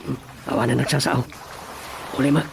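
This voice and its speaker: A man's voice: medium pitch, fairly even intonation, fairly quick, gravelly, noisy background. A man with an Ilocano Filipino accent playing a teasing, watchful village councillor in a radio drama. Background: music.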